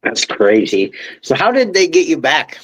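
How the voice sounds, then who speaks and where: A man's voice: a little high, very animated, moderate pace, fairly bright tone, slightly rough, rough recording. Guy hosting a podcast, sympathetic, asks follow-up questions. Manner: sympathetic, reacting to the story. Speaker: podcast host. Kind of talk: interview questions.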